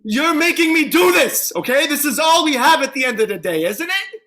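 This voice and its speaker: A man's voice: very high-pitched, big pitch swings, moderate pace, pushed and bright, full character voice. A man playing a frantic, guilt-ridden cop. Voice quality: pleading, frantic delivery. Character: desperate guilt-ridden male police officer. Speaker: actor in a staged play reading. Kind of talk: staged play reading.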